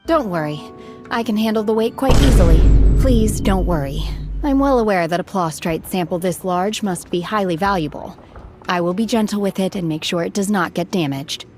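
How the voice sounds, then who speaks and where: An actress playing a calm, aloof young woman warrior who speaks softly and with cool composure. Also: medium pitch, moderate pace, close to an everyday voice. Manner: soft-spoken, cool, composed. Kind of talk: game voice acting. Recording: music underneath.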